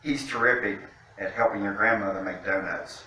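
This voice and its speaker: A man's voice: on the low side, moderate pace, sharp tone. A middle-aged man reading a picture book aloud to children in an indoor room, picked up by a distant camera microphone. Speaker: guest storytime reader, city official. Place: indoor room, seated by a fireplace mantel. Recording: very echoey room, distant mic, faint noise.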